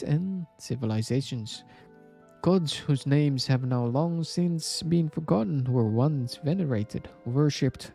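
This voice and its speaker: A man reading a story aloud as scripted narration, with a measured, dramatic storybook delivery. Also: medium pitch, gravelly, mellow. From Indonesia.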